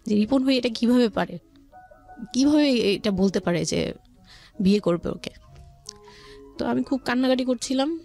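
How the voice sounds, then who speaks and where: A woman's voice: fairly high pitch, normal speed, vocal fry, pauses often, warm tone, soft background music. A woman with a Bangladeshi accent telling her personal story conversationally as a guest, often voicing what relatives said. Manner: quotes relatives' words as she narrates.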